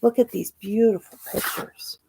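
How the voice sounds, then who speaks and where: A woman reading a children's picture book aloud expressively, pausing to ask her young listeners questions and point things out. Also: medium pitch, steady tone, creaky, mellow, a little hiss or hum. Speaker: storytime reader and teacher.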